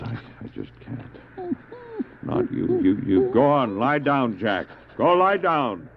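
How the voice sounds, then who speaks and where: A man's voice: mid-range voice, gravelly, a little hiss or hum, full character voice. Man acting earnest, theatrical stranded doctor, muffled cassette drama. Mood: torn, sorrowful. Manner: earnest, theatrical, halting when speaking in character. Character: stranded doctor and dog sledder, anguished but resolute, devout. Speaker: narrator and lead actor. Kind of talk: dramatized first-person adventure narration, audio drama. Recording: dull, lo-fi old cassette drama with music.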